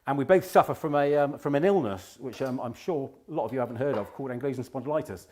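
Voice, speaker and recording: A man's voice: medium pitch, fast talker, warm tone. An English man hosting a live cooking show, chatting casually as he cooks, with many ums and restarts. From England. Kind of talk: casual chat while cooking.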